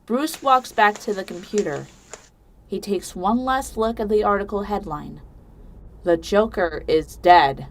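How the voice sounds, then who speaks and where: A woman's voice: medium pitch, unhurried, a little hiss or hum. A woman reading scripted narration for a dramatic audio story.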